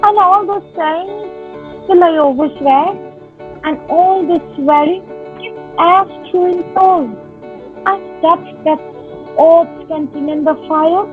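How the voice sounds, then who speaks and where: A woman's voice: extremely high pitch, slow pace, long pauses. A woman playing an earnest young woman disguised as a page boy in a dramatic Shakespeare reading. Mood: earnest, moved. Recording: tinny phone-like audio under guitar music.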